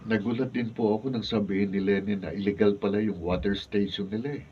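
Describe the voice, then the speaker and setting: Man acting earnest young student, dutiful son, lowish voice, radio drama, distant muffled recording. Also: steady tone, a little fast, natural voice. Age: young adult. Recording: distant, muffled, noisy studio camera audio.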